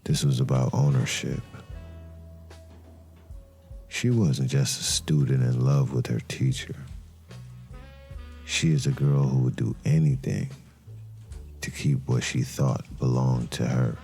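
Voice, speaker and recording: A man playing a brooding detective, narrating a crime drama in a somber, deliberate noir style with dramatic pauses. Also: extremely low pitch, fairly even intonation, gravelly, warm tone, exaggerated voice. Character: brooding male detective narrating a murder case. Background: music.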